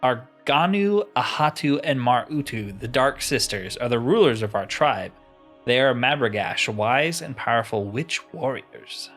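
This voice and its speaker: A man's voice: medium pitch, lively intonation, measured pace, fairly bright tone, soft background music. Man voicing a stern stronghold warrior guard. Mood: reverent.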